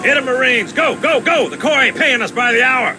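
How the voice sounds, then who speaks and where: A brash military sergeant in a video game. He barks in a high-pitched, rallying voice over clearly audible music. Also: fairly quick. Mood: rousing. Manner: rallying, drill-sergeant bark. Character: brash, barking male marine sergeant. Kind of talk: video game cutscene acting.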